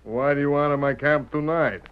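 A man's voice: a little high, fairly even intonation, moderate pace, clear, resonant tone, lightly acted. Guy doing a smug bandit boss in an old radio western, sardonic and bossy, muffled sound. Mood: mocking, amused. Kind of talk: old-time radio western villain acting. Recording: dull vintage radio recording.

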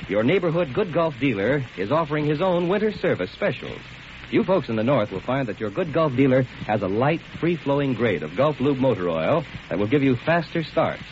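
A man delivering a scripted 1940s radio commercial, addressing listeners in a folksy, persuasive way. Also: mid-range voice. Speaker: commercial announcer. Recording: muffled, faintly hissy old radio broadcast.

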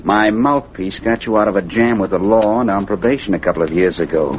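Male actor as menacing racketeer: low voice, slangy threats, old radio acting, dull noisy recording. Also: brisk, natural voice. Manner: threatening, slangy gangster talk. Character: menacing, smug racketeer blackmailing an underling.

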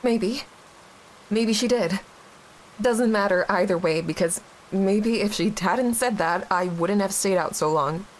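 Actress as young widowed mom wrecked with guilt, halting n raw, audio drama, hiss behind her. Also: mid-range voice, close to an everyday voice, low-quality recording. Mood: bitter, angry. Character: grieving, guilt-ridden young widowed mother, bitter and wounded. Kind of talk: naturalistic audio drama acting. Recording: clear background hiss.

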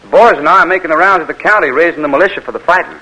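An eager young militia volunteer with a folksy drawl. His voice is bright and forceful, and his speech is blunt and rustic, like a vintage radio play. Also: on the high side, rapid-fire, poor audio. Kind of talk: 1940s radio acting.

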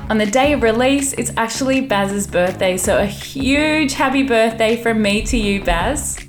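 A woman in the host's chair speaks into a studio microphone, bubbly and effusive, with a loud voice that projects. Faint music sits softly underneath her. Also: a little high, moderate pace. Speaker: podcast host. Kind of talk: podcast intro and interview.

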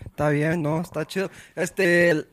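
A man with a notably high voice hosting a casual podcast, hyping up the show and its guests. He jokes around and flips between English and Spanish without pausing. His delivery is loose and playful. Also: steady tone, a bit bright. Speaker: podcast co-host. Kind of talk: casual chat.

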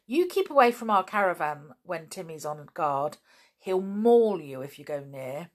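A woman's voice: fairly low pitch, big pitch swings, measured pace. A woman voicing a bold, spirited girl who is firm with strangers and fond of her dog.

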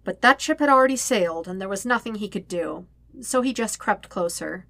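A woman's voice: medium pitch, expressive, fairly quick, vocal fry, a bit bright, no echo. A woman reading scripted fiction aloud as a narrator, expressively and conversationally, with wry emphasis. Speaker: podfic narrator.

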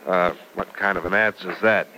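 A hesitant young suitor, tripping over his words as he pleads. His voice sits fairly low, with a faint hiss from the old broadcast behind it. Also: fairly even intonation, a little fast, bright, forceful tone, low-quality recording. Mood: affectionate. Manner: hesitant, stammers repeats. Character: impatient young man in love. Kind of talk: 1940s radio acting.

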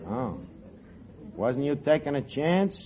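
An actor playing a wisecracking tavern manager in 1940s radio comedy, with fast street slang. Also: male voice, a little high, sing-song. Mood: deadpan. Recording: lo-fi old radio broadcast with hiss and studio audience.

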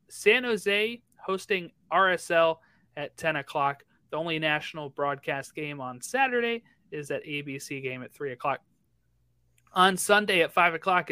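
Bright, forceful male podcast co-host, close and dry; casual soccer chat, runs through fixture lists briskly. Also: fairly high pitch, clear, resonant tone, no room sound. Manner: rattles off fixture lists, chatty.

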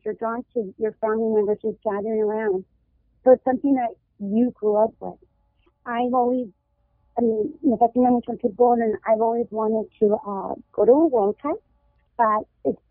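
A woman's voice: a little high, slightly rough, dry recording. A woman from Southern California, born in Mexico, telling personal stories casually as a podcast guest, mixing in some Spanish. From born in Mexico, raised in Southern California. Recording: phone-like, narrow-band call audio.

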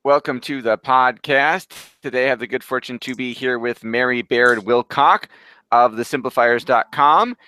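A man's voice: mid-range voice, moderate pace, bright, forceful tone, dry recording. A man hosting an interview podcast, eager and complimentary with his guest. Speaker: host.

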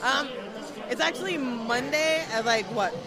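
A woman's voice: sits high, a little slow. An American woman chatting casually and emphatically as an interview guest, often doubling back on her phrases. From Florida, US. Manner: effusive, emphatic, repeats herself. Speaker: cosplayer being interviewed. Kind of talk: casual excited interview chat. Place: busy fan convention. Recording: music and crowd noise behind. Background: music, crowd.

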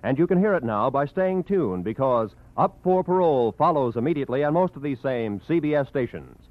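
A man's voice: medium pitch, lively intonation, brisk, no room sound. A man announcing credits and promotions in formal 1940s radio style. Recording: muffled old radio broadcast with music.

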